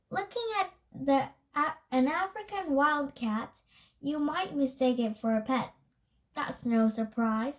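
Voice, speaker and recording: A child reading a nonfiction book aloud, haltingly, stumbling over and rereading words. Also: high voice, low-quality recording.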